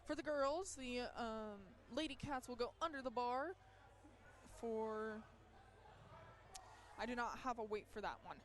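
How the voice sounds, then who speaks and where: A woman calls out a school powerlifting meet, her voice pitched a little high and strained from yelling. She speaks slowly and haltingly, stopping often and filling gaps with small fillers. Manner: halting, with frequent pauses and fillers. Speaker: meet announcer. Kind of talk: live powerlifting commentary.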